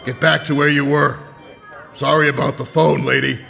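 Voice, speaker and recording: A man voicing a small, dim but polite toy monster guard with a gravelly growl, in an audio drama. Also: fairly high pitch, flat delivery, pushed and bright, faint music behind, some chatter behind, exaggerated voice. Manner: slow, deliberate delivery. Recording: long cavernous reverb.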